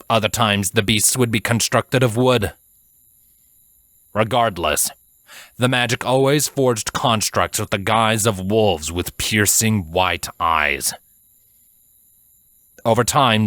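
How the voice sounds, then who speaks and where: Low-voiced man narrating a story. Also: fairly bright tone, no room sound, clean studio sound. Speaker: fan fiction narrator.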